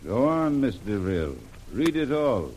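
An actor playing a suspicious, sardonic ship's boss in a vintage radio serial, formal and controlled, on a noisy old recording. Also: male voice, medium pitch, sing-song, measured pace, darkish tone. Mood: accusing, suspicious. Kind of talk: 1930s radio serial acting.

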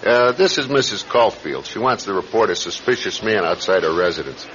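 An actor playing a brusque, world-weary New York police sergeant in a radio drama, blunt and matter-of-fact. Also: male voice, lowish voice, lively intonation, fairly quick, lightly acted. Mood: matter-of-fact, dismissive. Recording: dull radio recording with background hiss.

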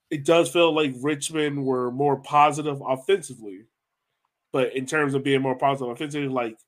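A man hosting a casual sports discussion, talking conversationally and putting questions to his co-hosts. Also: mid-range voice. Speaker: podcast host. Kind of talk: casual sports analysis chat.

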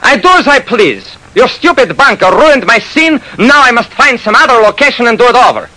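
An indignant, excitable man in a classic radio drama. The voice is very high and forceful, with emphatic, agitated delivery. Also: a little fast, exaggerated voice, low-quality recording. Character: agitated, indignant men caught up in a robbery.

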